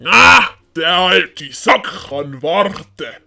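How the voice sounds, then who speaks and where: A scheming, mocking gentleman villain, with a very bright, forceful voice. He speaks slowly and theatrically, with lively swings of pitch, in a comic audio play. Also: gravelly, heavily acted. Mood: smug, sly.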